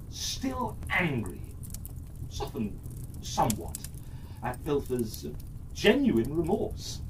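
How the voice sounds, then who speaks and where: Fairytale narrator, dramatic and deliberate, frequent pauses, slightly distant mic with faint background noise. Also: mid-range voice. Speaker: storyteller.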